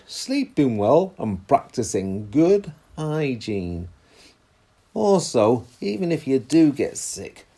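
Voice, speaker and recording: A man playing a calm, patient dad explaining things reassuringly in a children's story. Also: mid-range voice, slightly rough, heavily acted.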